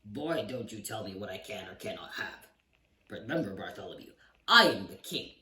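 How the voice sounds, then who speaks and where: A man voicing a grumpy old king who growls and shouts commands, read aloud from a storybook in a roomy space. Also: on the low side, lively intonation, takes it slowly, heavily acted. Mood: furious. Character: grumpy old male king, bellowing tyrant. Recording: roomy echo, distant mic, faint hiss.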